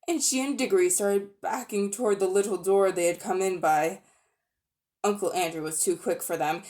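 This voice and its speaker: A woman giving an expressive, animated dramatic reading of a children's storybook. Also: medium pitch. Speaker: reader.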